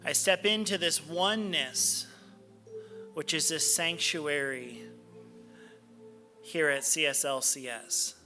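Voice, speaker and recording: A man speaking as a spiritual practitioner in a church sanctuary, giving devotional readings and prayer in deliberate, measured phrases with pauses. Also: a little high, pushed and bright, faint music behind.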